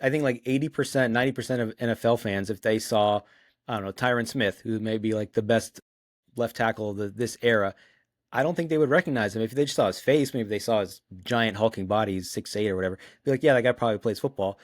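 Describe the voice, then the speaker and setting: Low-voiced man hosting a casual sports chat. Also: fast, dry recording, studio quality. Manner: conversational, posing questions. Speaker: podcast host.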